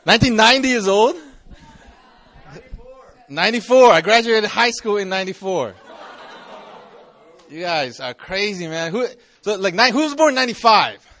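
A man's voice: high-pitched, expressive, normal speed, long pauses, fairly bright tone, some chatter behind. A man in his forties, a campus pastor, giving a casual, joking youth sermon to a live audience. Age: 40s. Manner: chatty, jokey, restarts often.

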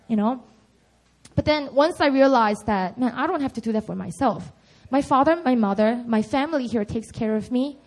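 High-voiced young Korean woman sharing testimony. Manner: earnest and personal, with frequent laughs and "you know". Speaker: church speaker. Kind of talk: testimony in a church service. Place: church hall.

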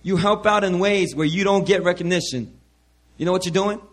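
Guy preaching w a worn hoarse voice, kinda high pitched, calls out to the church a lot. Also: fairly quick. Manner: repeats hallelujah, calls out to the congregation. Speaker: pastor. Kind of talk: sermon. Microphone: handheld mic.

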